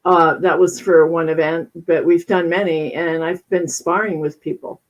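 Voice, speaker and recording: A woman's voice: fairly low pitch, fairly even intonation, moderate pace, dull audio. Upbeat woman in her sixties. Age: 60s. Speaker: co-host. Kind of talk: casual chat.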